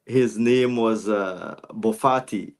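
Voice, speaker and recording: A man's voice: lowish voice, takes it slowly, a little mellow. Guyanese-born man in his forties. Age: 40s. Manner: reflective, many restarts and fillers. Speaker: guest. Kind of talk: casual interview chat.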